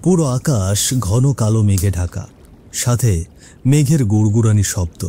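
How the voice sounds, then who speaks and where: A man's voice: fairly low pitch, expressive, normal speed, mellow, a little hiss or hum. A man narrating a cartoon story as scripted suspense, in a dramatic storytelling manner.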